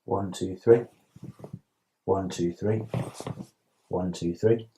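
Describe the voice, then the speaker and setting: A man, a patient, slowly and quietly repeating numbers on request. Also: low-pitched, steady tone, a little hiss or hum, poor audio. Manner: quiet, slow, compliant counting.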